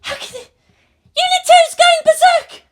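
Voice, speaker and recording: Young female technician, squeaky, breathless and easily astonished, very high voice, audio story. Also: fairly even intonation, unhurried. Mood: alarmed.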